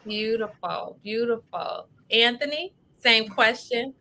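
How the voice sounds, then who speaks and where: Bright, high-voiced American woman hosting. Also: slow pace. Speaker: host of a self-care show. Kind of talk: upbeat panel hosting.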